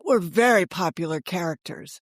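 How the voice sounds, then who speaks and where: Low-pitched older woman, close dry sound. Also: animated, normal speed, dry recording. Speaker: guest, author and editor. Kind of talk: conversational interview storytelling.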